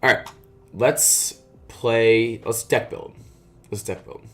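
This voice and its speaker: A young man streaming a card game, chatting casually with a raspy morning voice and lots of "uh" and "like" fillers. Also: medium pitch, steady tone, slow, fairly bright tone, slight background noise. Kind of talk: casual live-stream chat.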